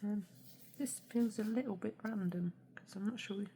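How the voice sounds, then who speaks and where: A woman with a hoarse, snuffly cold, chatting casually and quietly as she unboxes art supplies, with many hesitations. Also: mid-range voice, normal speed. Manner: soft-spoken, often drops to a near whisper; mildly critical, hesitant with many ums. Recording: steady background noise.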